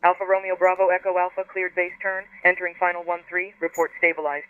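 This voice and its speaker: High, flat-voiced tower controller on tinny radio. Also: male voice, fast talker, clear, resonant tone, no room sound. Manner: flat, clipped instructions. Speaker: air traffic controller. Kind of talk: radio phraseology. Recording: tinny radio transmission with faint noise.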